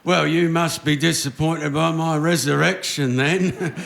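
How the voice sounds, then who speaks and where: Man acting a blustering, creaky high-voiced old father. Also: fairly even intonation, measured pace, a bit bright, slight background noise, heavily acted. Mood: sardonic. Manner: blustering, grumbling. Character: cantankerous, sardonic old father, presumed dead, blustering and swearing. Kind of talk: stage radio-play acting in old-fashioned domestic comedy. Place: theatre stage before an audience. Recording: roomy live theatre stage recording.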